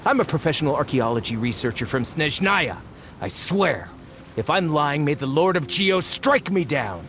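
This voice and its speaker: A greedy blustering archaeologist. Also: male voice, on the high side, expressive, normal speed, fairly bright tone, slight background noise. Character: greedy, pompous male treasure-hunting archaeologist. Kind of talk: game voice acting. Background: game music.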